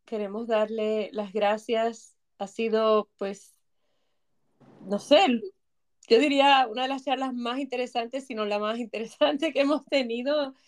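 High-voiced chatty woman co-host. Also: steady tone, a little slow. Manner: chatty, jokey, reads facts aloud. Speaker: podcast co-host. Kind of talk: casual chat.

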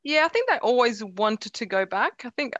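A woman's voice: fairly high pitch, very animated, normal speed, fairly bright tone, dry recording, dull audio. A middle-aged woman from Croatia, a Croatian speaker with a faint Croatian accent, chatting easily in an interview, laughing often and restarting her sentences. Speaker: interview guest. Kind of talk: relaxed conversational interview.

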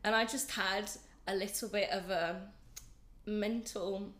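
A woman's voice: mid-range voice, steady tone, slow, fairly bright tone, echoey room. A young woman in her twenties, chatting as a podcast guest, a bit congested from a flu, rambling and self-deprecating. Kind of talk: casual chat.

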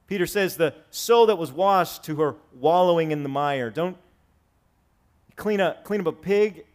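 A man in his forties preaching a sermon to his congregation, swinging between raised, emphatic lines and quiet, conversational asides. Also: a little high, unhurried. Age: 40s. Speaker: pastor. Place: church sanctuary with congregation.

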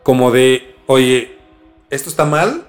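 A man's voice: medium pitch, expressive, slow, soft background music. A man with a Mexican accent explaining things in casual conversation as a podcast co-host, informally and repeating words for emphasis.

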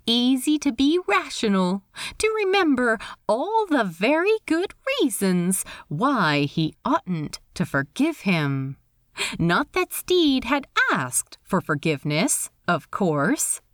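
Lively woman reading fiction aloud, slow-paced. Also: fairly high pitch, no room sound, studio quality. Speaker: fan fiction reader. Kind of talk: expressive scripted audiobook narration.

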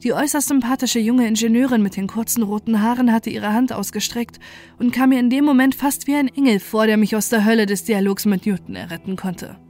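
A woman's voice: on the high side, fairly quick, a little mellow, soft background music. A woman reading a scripted first-person horror story as narrator, in a sober and controlled manner.

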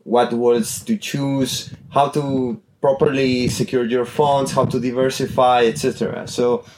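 A man's voice: mid-range voice, level tone, a little slow, a little mellow, slight background noise, poor audio. Hesitant man from Chile. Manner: hesitant, restarts often, searches for words. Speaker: guest. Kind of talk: casual podcast conversation.

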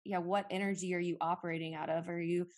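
A woman's voice: lowish voice, little pitch movement, quick pace, a little mellow. A woman co-hosting a podcast, chatting in a rambling, personal way with lots of fillers. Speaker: podcast co-host. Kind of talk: casual podcast chat.

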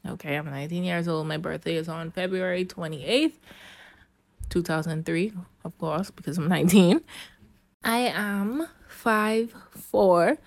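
A young woman with a lowish voice hosts her podcast solo in a relaxed, chatty way. She laughs easily and talks straight to her listeners. The sound is dry and close, with no room echo. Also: unhurried, gravelly. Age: young adult. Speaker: podcast host. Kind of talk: casual solo podcast chat. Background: rain.

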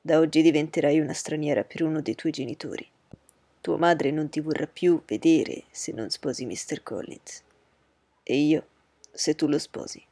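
Brief: woman playing an unflappable ironic dad, lowered husky voice. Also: dark tone, no room sound. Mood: wry. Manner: lowered, measured, wry. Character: calm dry ironic older father.

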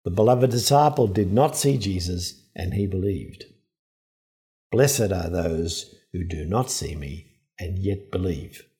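A man giving a measured, earnest Easter homily on a gospel passage. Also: deep voice, expressive, mellow. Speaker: preacher.

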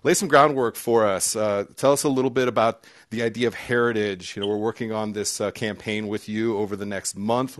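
A male host with a low voice moderates a panel discussion. His manner is easygoing and upbeat, and his talk is loose and full of fillers. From Southern California. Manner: casual and upbeat, with many "uh" fillers.

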